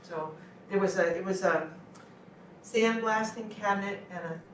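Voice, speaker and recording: A woman's voice: mid-range voice, moderate pace. Woman chairing a meeting, echoey room. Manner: plain, curious questioning. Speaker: school board president chairing meeting. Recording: distant echoey room mic, faint noise.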